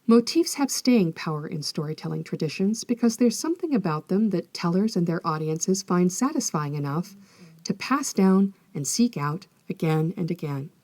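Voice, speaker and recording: A woman hosting an educational podcast, reading scripted narration in a measured, articulate, explanatory manner. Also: on the low side, lively intonation, warm tone, dry recording. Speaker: podcast host, folklorist.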